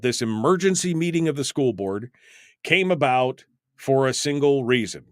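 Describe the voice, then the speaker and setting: A man's voice: fairly high pitch, no room sound. A man hosting a freeform talk radio call-in show, rambling in an emphatic, self-mocking way on a close studio microphone.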